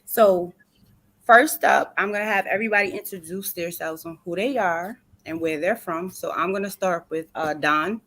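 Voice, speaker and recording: A woman's voice: fairly low pitch, moderate pace, a bit bright. Chatty woman hosting an online book tour. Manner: upbeat, chatty, uses y'all. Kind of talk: casual interview.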